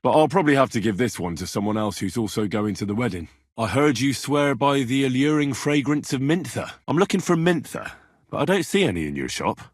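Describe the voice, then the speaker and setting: A man's voice: mid-range voice, animated, brisk, no echo, clean studio sound, natural voice. A man playing a stubborn, earnest young man in a video game, speaking plainly and probingly as he investigates. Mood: persuading. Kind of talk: video game character dialogue.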